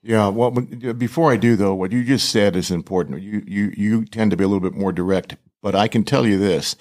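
A man's voice: on the low side, fairly even intonation, a little fast, a little mellow. An older man who leads a ministry, a studio guest talking in a playful but earnest way. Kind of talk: casual interview chat.

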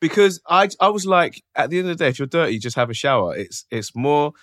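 A man's voice: fairly high pitch, fast, a bit bright, no echo, studio quality. A young man hosting a dating podcast, teasing his guest and laughing often. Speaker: podcast host. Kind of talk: casual chat.

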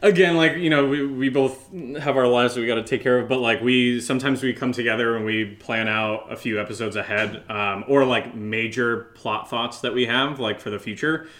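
A man's voice: medium pitch, steady tone, moderate pace, hardly any pauses, a bit bright. A man co-hosting a podcast in casual Q&A chat, feeling out his answers with loose, hesitant, circling phrasing. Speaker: podcast co-host. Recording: echoey, roomy sound.

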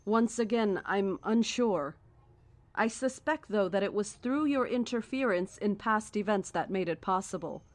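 An actress playing a cryptic, coldly certain cult founder in a horror audio drama, speaking in a measured, formal and deliberate way. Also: female voice, medium pitch, no room sound, close to an everyday voice. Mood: cold, accusing.

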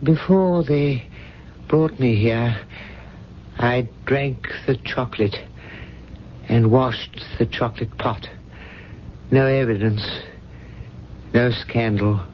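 Through a dull radio recording with faint hiss comes an actor as an ailing, devoted man in a radio drama. He speaks slowly and haltingly, weary but dryly dignified, at a middling pitch. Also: lightly acted. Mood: weary, resigned. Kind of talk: 1970s radio drama acting.

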